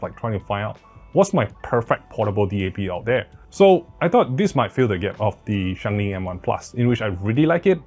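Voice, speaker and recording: A man's voice: mid-range voice, a little mellow. A man hosting a tech review in a casual, conversational way, with emphatic stresses and self-correcting asides. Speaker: tech review video host.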